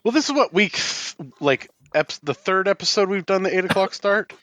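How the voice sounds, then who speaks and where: A man's voice: sits high, lively intonation, normal speed, fairly bright tone, no echo. An American man co-hosting a podcast, chipping in with casual banter. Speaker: podcast co-host.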